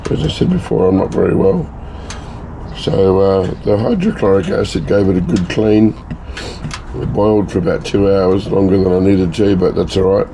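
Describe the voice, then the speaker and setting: A man with a hoarse, failing voice from a bad cough, casually talking viewers through his home refining work in a chatty, rambling way. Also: low voice, normal speed, mellow, a little hiss or hum, rough recording. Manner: chatty, rambling, self-mocking asides. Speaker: hobbyist gold refiner and video maker. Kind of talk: casual talk-through of a lab process.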